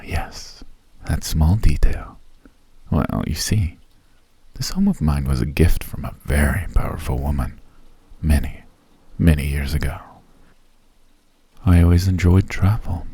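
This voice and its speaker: Brief: male VA as a mysterious elf recluse, very deep husky voice, genteel and teasing. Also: dry recording, heavily acted. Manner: genteel, teasing, softly menacing. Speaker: voice actor. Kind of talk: ASMR roleplay.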